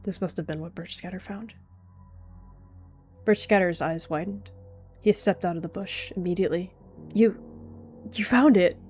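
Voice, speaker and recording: Low-voiced woman narrating a roleplay. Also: fairly even intonation, moderate pace, pauses often, a little mellow, faint music behind. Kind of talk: storybook narration.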